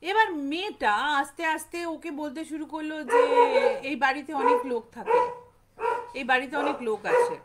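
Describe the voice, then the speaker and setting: A woman's voice: high voice, expressive, normal speed, very noisy. A woman telling a ghost story informally, conversationally repeating phrases for emphasis and lightly acting out dialogue.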